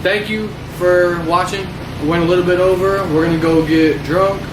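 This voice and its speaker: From an echoey room, set back from the mic, comes a young man with a fairly high voice. He hosts casual sports banter, full of swearing and slang. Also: moderate pace. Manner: profane, slangy, animated opinions. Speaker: sports show host. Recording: distant, echoey room with clear noise.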